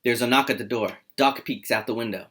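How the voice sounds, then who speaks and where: A man's voice: medium pitch, fairly quick, a bit bright. A man hosting a table read and narrating the screenplay's stage directions.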